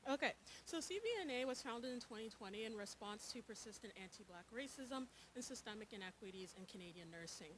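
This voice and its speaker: A woman with a soft voice, a nursing lecturer presenting at a panel, earnest and dotted with ums and uhs. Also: on the high side, slight background noise, rough recording. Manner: earnest, with frequent um and uh fillers.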